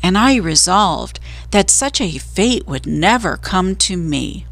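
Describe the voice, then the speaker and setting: A woman acts out a self-pitying storybook mouse, pitched high and choked with emotion. He is a theatrical miser who draws out his flowery words slowly, as if every one pains him. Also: sing-song, gravelly, no echo. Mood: tearful, grandiose. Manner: melodramatic, flowery, old-fashioned phrasing.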